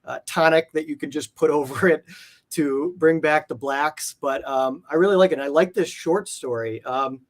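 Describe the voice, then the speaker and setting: A man's voice: fairly high pitch, normal speed. A man speaking casually as an interview guest, explaining things in a hesitant, circling way. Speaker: guest, comic art collector.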